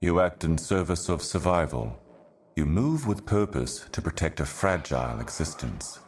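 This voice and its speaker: An actor playing an ominous, ancient otherworldly herald in a video game, speaking in solemn, cryptic, deliberate monologue. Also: male voice, a bass voice, sing-song, slight background noise. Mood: bleak, mournful. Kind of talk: scripted video game voice acting, slow monologue.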